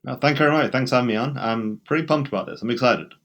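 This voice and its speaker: A man's voice: medium pitch, very fast, a bit bright. A man with a British accent, talking emphatically as a guest in an interview, in bursts with restarts. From England. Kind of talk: conversational interview.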